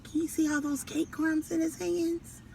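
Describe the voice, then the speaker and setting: A woman storyteller reading a picture book aloud to children and pausing to ask them questions. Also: very high-pitched, flat delivery, creaky, darkish tone, slight background noise, low-quality recording.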